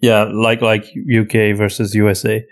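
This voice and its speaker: A man's voice: fairly low pitch, flat delivery, moderate pace. A man from Flanders with a Flemish accent, a native Dutch speaker, talking casually as a podcast co-host and repeating words as he thinks. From Flanders, Belgium. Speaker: podcast co-host and audio drama writer. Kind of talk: casual conversational Q&A.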